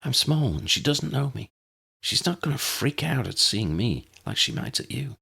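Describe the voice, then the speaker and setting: A man voicing a calm, practical, cautious woman in an audiobook story, speaking in a quiet, reasoning murmur. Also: medium pitch, normal speed, gravelly, husky, pitched above the performer's own voice, heavily acted. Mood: quietly persuasive.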